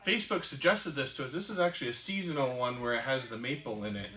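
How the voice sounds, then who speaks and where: A woman's voice: a bass voice, fast, fairly bright tone. A woman co-hosting a casual chat show, rambling with lots of fillers and easy enthusiasm. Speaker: podcast co-host. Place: shop room indoors.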